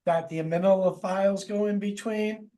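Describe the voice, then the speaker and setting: A man's voice: sits high, steady tone, normal speed, mellow. A man from New Jersey with a New York accent, co-hosting a casual chat and telling a rambling story with hesitant fillers. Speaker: podcast co-host.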